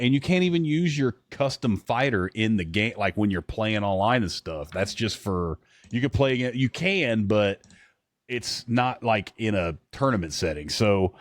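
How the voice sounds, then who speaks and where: Low-voiced man, blunt sweary rambling. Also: no room sound. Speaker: podcast co-host. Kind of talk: casual chat reacting to trailers.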